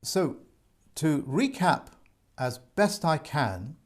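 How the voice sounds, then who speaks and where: Lively-voiced man giving earnest advice. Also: fairly high pitch, extremely slow. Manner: earnest, repetitive, addresses viewers as folks. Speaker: presenter giving debt advice. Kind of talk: informal advice monologue to viewers.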